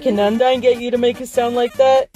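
A woman's voice: on the high side, steady tone, fairly quick, over music, close to an everyday voice. A woman playing a laid-back, teasing elder skeleton brother in an amateur audio drama, with a casual, playful manner.

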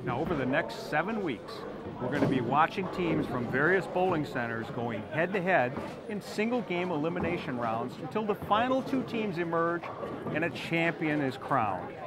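A man's voice: on the high side, big pitch swings, moderate pace, very noisy. A middle-aged man hosting a bowling show in a bowling alley, explaining the format in an upbeat way on a lapel mic. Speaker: host. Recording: loud music underneath.

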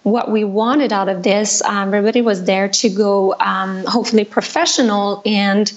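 A woman from Quebec with a Québécois French accent, French her first language, chatting as a podcast guest with many pauses and ums. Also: mid-range voice, fairly even intonation, highs cut off. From Quebec, Canada. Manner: hesitant, many ums, warm laughing asides. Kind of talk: casual interview chat.

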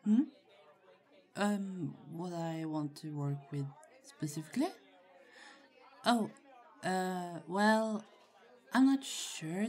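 Slow, low-voiced shy young woman, acted out by a woman. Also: warm tone. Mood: shy, thoughtful. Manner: hesitant, halting, giggly. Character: shy, friendly young woman, nursing student with a crush. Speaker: voice actor in roleplay audio. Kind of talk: ASMR roleplay, acted casual conversation. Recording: faint crowd chatter behind. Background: party crowd murmur.

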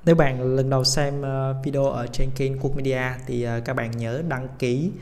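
A man hosting a casual software tutorial, speaking haltingly with many hesitation sounds and English tech terms mixed in. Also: medium pitch, little pitch movement, faint music behind. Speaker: tutorial host.

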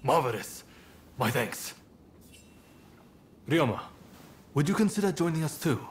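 A man playing a composed, courteous samurai rebel leader in a video game, with formal, measured phrasing. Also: a little high, lively intonation, slight background noise. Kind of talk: dramatic video game voice acting.

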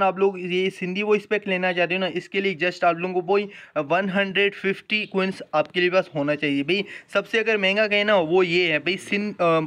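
Casting: man, high forceful voice, chatty game-event explainer with repeated filler tags. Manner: chatty, repetitive verbal tags, addresses viewers affectionately. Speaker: gaming channel host. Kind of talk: casual game event walkthrough commentary.

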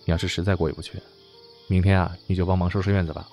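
A man's voice: low voice, level tone, rapid-fire, a little mellow, soft background music. An actor in an audio drama playing a modern young man who is doting, exasperated and secretly lovesick. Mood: caring, coaxing.

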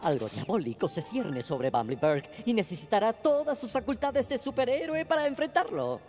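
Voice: mid-range voice, animated, moderate pace, a little mellow, soft background music, natural voice. A performer voicing a prim, devoted male butler in a Latin American Spanish cartoon dub, formal and admonishing. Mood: concerned, scolding. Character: fussy, devoted asparagus butler, mature male. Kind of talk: animated cartoon dubbing.

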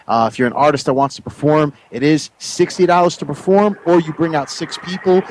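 A man's voice: fairly high pitch, brisk, darkish tone, poor audio. A man hosting a radio show in a casual style, chatting with his guest and giving plugs.

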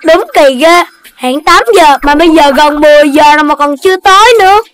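A woman's voice: high voice, brisk, fairly bright tone. A woman voicing a mischievous young animal child in a children's cartoon, with a childlike way of talking. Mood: resigned. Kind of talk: Vietnamese children's cartoon dubbing.

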